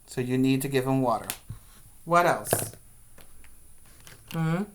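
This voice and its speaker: A man's voice: mid-range voice, expressive, slow pace, slight background noise. A man teaching preschoolers, speaking in an instructive, question-and-answer way as he reads aloud and guides young children.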